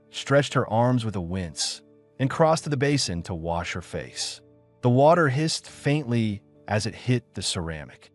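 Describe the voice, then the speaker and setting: A man narrating a science fiction audiobook in a measured, solemn, dramatic storytelling manner. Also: lowish voice.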